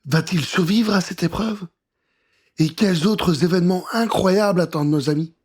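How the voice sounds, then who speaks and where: A man reads a children's tale as its narrator. His voice sits fairly high for a man and has a husky edge, with dramatic, suspenseful storytelling. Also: normal speed. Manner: expressive, suspenseful.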